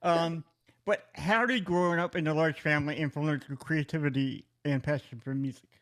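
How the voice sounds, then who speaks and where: A man hosting an interview podcast, loose and jokey, often laughing and cutting in with quick replies. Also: a little high. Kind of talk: casual interview chat.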